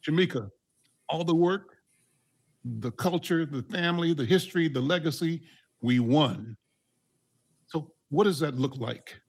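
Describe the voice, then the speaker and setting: A man hosting a community video call, interviewing guests with halting speech full of fillers and restarts. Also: fairly high pitch, gravelly. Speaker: host.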